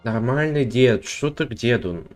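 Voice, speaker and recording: A man's voice: mid-range voice, animated, normal speed, a little mellow, faint music behind. A man giving casual gameplay stream commentary, with offhand, wry and ironic asides. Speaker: streamer and YouTuber.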